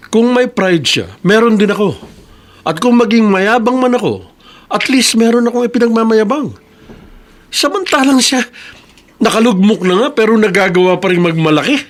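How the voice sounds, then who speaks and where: A proud company boss in a radio serial. His voice is fairly high for a man, authoritative and emphatic, never yielding. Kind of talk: Filipino radio drama acting.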